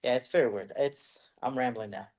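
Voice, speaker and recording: Rambling, animated American man. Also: mid-range voice, fairly quick. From United States. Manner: rambling, animated storytelling with put-on voices. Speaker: podcast co-host. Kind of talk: casual chat.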